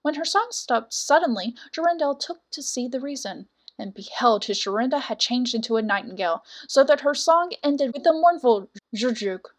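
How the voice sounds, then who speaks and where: Female storyteller, fairly high-pitched, for scripted fairy tale narration with deliberate, dramatic delivery. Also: expressive.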